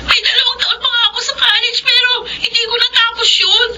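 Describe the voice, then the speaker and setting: An actress playing a self-sacrificing eldest sister working abroad in a Filipino radio drama, with a Filipino accent and tearful, pleading delivery. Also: female voice, extremely high pitch, little pitch movement, fairly quick, bright, forceful tone, a little hiss or hum, heavily acted, low-quality recording. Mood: reproachful. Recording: music under the voice.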